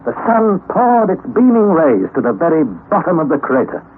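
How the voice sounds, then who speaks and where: An actor playing an earnest young nephew who recounts a perilous expedition in measured, theatrical old radio-drama style. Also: male voice, a little high, sing-song, phone-quality sound. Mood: grave, suspenseful. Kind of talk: dramatic first-person narration, old-time radio acting. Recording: thin, band-limited vintage radio recording, noisy.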